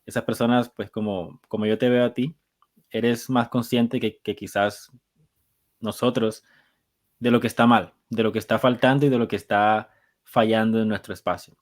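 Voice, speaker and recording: A man's voice: on the low side, fairly even intonation, normal speed. Thoughtful male podcast host. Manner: thoughtful, searching for words. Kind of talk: conversational interview.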